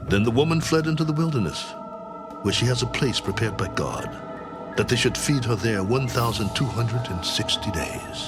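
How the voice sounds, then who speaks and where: A man narrating scripture as a drama, solemnly and with deliberate phrasing, over loud music. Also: medium pitch, animated.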